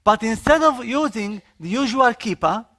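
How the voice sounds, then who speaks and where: A man with an Israeli accent preaches a sermon with earnest, expressive delivery. His voice sits notably high for a man. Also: normal speed. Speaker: missionary.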